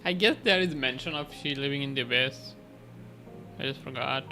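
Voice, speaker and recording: A man's voice: medium pitch, normal speed, over music. A man doing casual live game commentary as a streamer, musing informally and reacting to the story.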